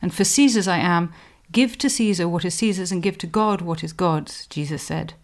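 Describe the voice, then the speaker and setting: Measured scholarly woman narrator. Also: lowish voice. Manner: scholarly, measured, emphatic when quoting. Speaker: podcast host, historian. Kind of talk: scripted explanatory narration with read quotations.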